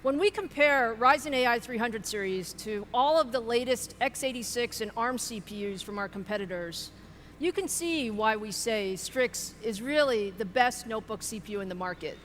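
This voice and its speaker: A woman's voice: on the high side, expressive, normal speed, a bit bright. A woman giving a polished, upbeat keynote presentation, introducing products and partners on stage. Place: large keynote hall. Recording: large hall reverb with faint noise.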